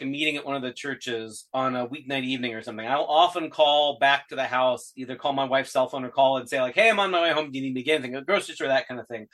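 Guy with a bright punchy voice, kinda high, rambling thru his thoughts on a chatty podcast. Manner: thoughtful, rambling with everyday examples. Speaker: co-host. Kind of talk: casual theological discussion.

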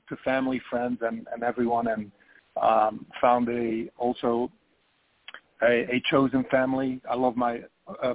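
A man's voice: mid-range voice, no echo. Belgian-born man on a thin line. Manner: hesitant, many ums. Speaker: filmmaker guest. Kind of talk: radio interview. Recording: phone-like narrow band.

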